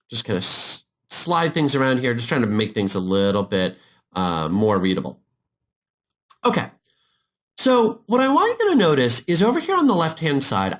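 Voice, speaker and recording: A man narrating a software tutorial step by step, in an informal, explanatory manner. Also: medium pitch. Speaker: instructor.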